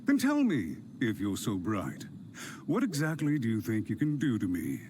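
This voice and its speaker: An actor playing a scheming male official in a game, sneering and quick to take offence. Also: on the low side, huge pitch swings, dark tone, slight background noise. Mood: smug. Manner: sneering, indignant. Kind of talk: game cutscene voice acting.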